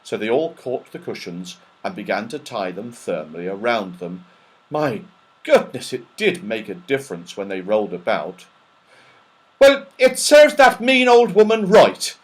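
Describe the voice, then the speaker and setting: A man narrating a children's storybook in an expressive, dramatic, animated way. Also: lowish voice, husky. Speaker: audiobook narrator.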